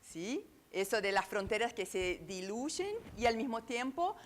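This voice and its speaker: A woman with an Argentine accent speaks at a conference in a fairly high voice. She talks her way through ideas with fillers and false starts and keeps turning to the room for agreement. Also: very animated. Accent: Argentine Spanish. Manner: searching, halting, many fillers and restarts; asks the room for agreement with tag questions. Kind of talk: lecture to a live audience.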